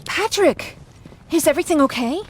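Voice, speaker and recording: A woman's voice: sits high, expressive, moderate pace, slight background noise. An actress playing an outspoken, compassionate female survivor in a video game, blunt yet caring. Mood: concerned. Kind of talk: video game cutscene acting.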